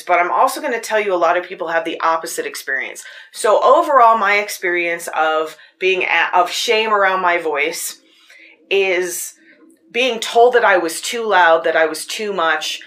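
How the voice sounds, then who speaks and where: A woman with a fairly low voice hosting a podcast, telling personal stories in an earnest, searching way. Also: fairly bright tone. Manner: earnest, personal storytelling with frequent restarts. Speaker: podcast host. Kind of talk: casual spoken reflection. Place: Tucson.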